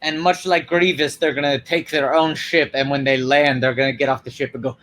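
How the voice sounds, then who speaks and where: A man, a guest in comedic banter, who drops in quick deadpan remarks over a video call. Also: mid-range voice.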